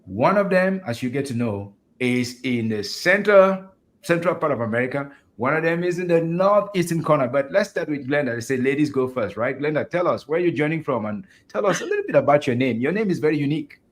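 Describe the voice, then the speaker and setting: A man's voice: fairly high pitch, expressive, fairly quick. A man from Africa hosting a virtual fireside chat over a video call, chatty and enthusiastic, laughing easily. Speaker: host.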